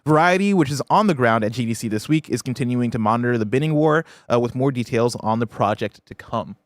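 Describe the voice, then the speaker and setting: A man's voice: medium pitch, expressive, fast, no room sound. A man with a mid-range voice talks as a podcast host discussing games news. His delivery is conversational and analytical, and the sound is dry, with very little room in it.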